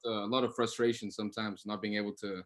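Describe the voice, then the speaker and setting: A man, an actor, talking casually in a panel discussion, halting and repeating words as he goes. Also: lowish voice, flat delivery.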